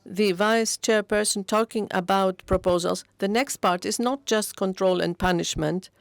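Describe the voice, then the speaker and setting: A woman interpreting a speech into English at a conference, steady but with frequent hesitations and self-corrections. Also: mid-range voice, moderate pace, creaky, no room sound.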